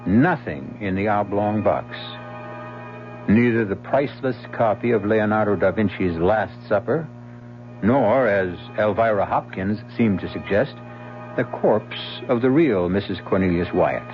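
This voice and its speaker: A man narrating as host of a radio mystery show, slow and teasing with dramatic pauses. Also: on the low side, lively intonation. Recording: dull old radio broadcast with faint music.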